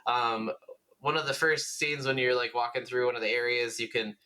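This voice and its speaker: Bright-voiced man chatting about gaming. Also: medium pitch, flat delivery, normal speed. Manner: informal, mildly profane. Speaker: podcast co-host. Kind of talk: casual gaming chat.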